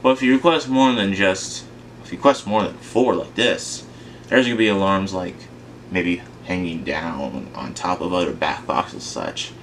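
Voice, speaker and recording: A young man speaks in a raspy, sleepy morning voice with a lowish pitch. He rambles, hesitates and corrects himself. A steady fan hum sits clearly behind him in a home room. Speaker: fire alarm hobbyist. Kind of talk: casual talk to camera. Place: room at home beside a wall of alarms.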